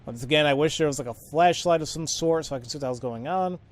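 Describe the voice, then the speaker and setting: A man giving casual, halting let's play commentary, informally thinking aloud as he goes. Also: fairly high pitch. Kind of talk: casual video game commentary.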